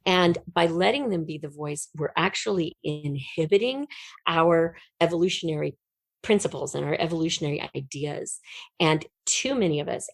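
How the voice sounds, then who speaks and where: A middle-aged woman giving an earnest, emphatic and expressive explanation as a guest in a conversational interview. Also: deep voice, creaky, breathy edge, no room sound. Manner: earnest, emphatic, expressive storytelling with illustrative metaphors. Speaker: podcast guest, writer.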